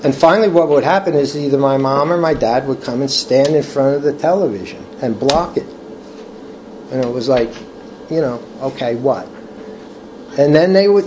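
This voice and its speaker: Older man, middle pitch, halting speech, many restarts, earnest, faint background hiss. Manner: earnest, personal testimony. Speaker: recovery study group leader. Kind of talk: casual talk reading from a book.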